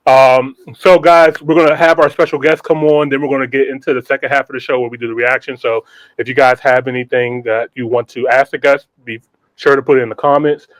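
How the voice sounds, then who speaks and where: A man hosting a podcast in casual, slangy hype style, with an animated, welcoming manner. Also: mid-range voice, brisk, dry recording, poor audio. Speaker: podcast host.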